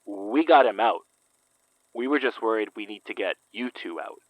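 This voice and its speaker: A man's voice: fairly low pitch, lively intonation, normal speed, no room sound, poor audio. A man playing a wary, level-headed detective in a horror audio drama, speaking in a blunt, understated way. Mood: concerned.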